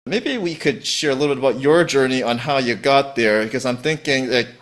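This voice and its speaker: A man's voice: medium pitch, brisk, a bit bright. A man hosting a podcast, asking and summing up in a loose, rambling way full of fillers. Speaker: podcast host. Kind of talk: casual interview chat.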